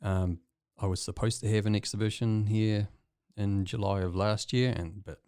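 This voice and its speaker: Kiwi man, deep voice, relaxed hesitant interview guest. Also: normal speed, smooth, no room sound, studio quality. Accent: New Zealand. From New Zealand. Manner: relaxed, hesitant with many ums, chuckles often. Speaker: guest artist. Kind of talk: casual interview chat.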